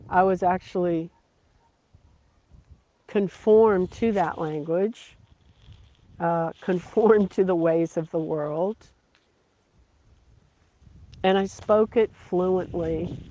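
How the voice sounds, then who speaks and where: Slow, earnest preacher on a dull recording. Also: medium pitch, dark tone, a little hiss or hum. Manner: slow, earnest, repeating phrases for emphasis. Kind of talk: devotional sermon.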